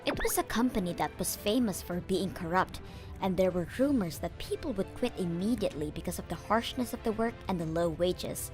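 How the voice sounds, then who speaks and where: A woman's voice: on the low side, lively intonation, a little fast, darkish tone, soft background music. A woman reading scripted story narration as the narrator of a comic dub.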